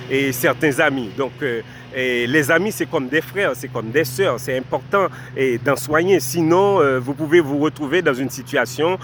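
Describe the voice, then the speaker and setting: Man, Creole speaker, mid-pitched voice, formal address to viewers, repeats points, outdoors, faint street traffic behind. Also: a bit bright. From Haiti. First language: Haitian Creole. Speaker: vlogger giving life advice. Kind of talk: direct-to-camera advice talk.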